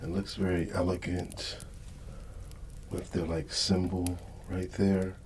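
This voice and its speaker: A middle-aged man hosting an ASMR video, rambling casually and softly with a hesitant delivery. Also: low-pitched, level tone, slow, slight background noise. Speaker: ASMR channel host.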